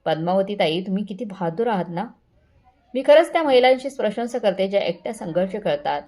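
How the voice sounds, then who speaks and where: A woman's voice: medium pitch, lively intonation, brisk, a little mellow, lightly acted. A woman voicing a boastful, condescending wealthy mother-in-law with showy, patronizing politeness, in a family story. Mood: fake pity.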